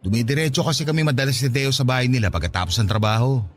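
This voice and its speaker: A man's voice: mid-range voice, very fast, a little hiss or hum, natural voice. An actor playing an easygoing, playful husband in his thirties who gets defensive with his wife, in a radio drama. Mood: coaxing, defensive. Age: 30s. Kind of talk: Tagalog radio drama acting.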